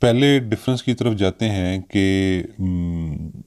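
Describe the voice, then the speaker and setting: A man with a Pakistani accent giving an informal explanatory law lesson, hesitant with frequent drawn-out fillers and mixing in English terms. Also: sits low, darkish tone, clean tone. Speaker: law lecturer.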